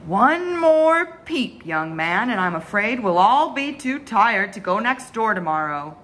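A young woman voicing a stern, tired father in a storybook, slowly and firmly warning his son. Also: a little high, very animated, fairly bright tone, heavily acted.

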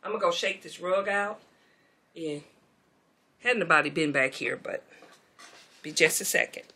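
Chatty woman vlogger, bright voice. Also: on the low side, moderate pace. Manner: chatty, relaxed, talks to viewers as y'all. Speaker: beauty vlogger. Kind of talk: casual chat while tidying. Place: bedroom beauty room indoors. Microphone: camera mic, moving around.

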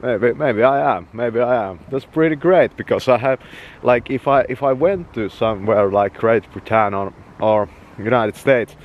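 A man's voice: mid-range voice, moderate pace, muffled recording. A young man from Finland with a Finnish accent, chatting casually and swearing freely as he walks and films himself at arm's length outdoors. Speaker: vlogger. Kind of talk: rambling walk-and-talk vlog. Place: outdoors, walking through woods and town. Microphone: handheld camera at arm's length.

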